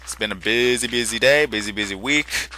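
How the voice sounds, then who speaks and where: A tired young man rambling through casual gameplay commentary, often restarting his sentences and correcting himself, with a soft, quiet voice. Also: mid-range voice, fairly quick, slight background noise. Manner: rambling, self-correcting chatter. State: tired from little sleep. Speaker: gaming channel host. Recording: game music faintly underneath. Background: faint game music.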